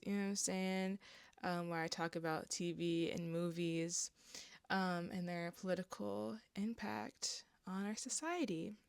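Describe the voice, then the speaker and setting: A young woman with a raspy, gravelly voice talks loosely and candidly, with plenty of "um"s, "like"s and swearing. Her hoarseness sits over a smooth, resonant tone, and the sound is close and dry with no room around it. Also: lowish voice, dry recording. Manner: casual and candid, with frequent fillers and swearing. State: hoarse from an allergic reaction. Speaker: vlogger. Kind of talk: casual chat to camera.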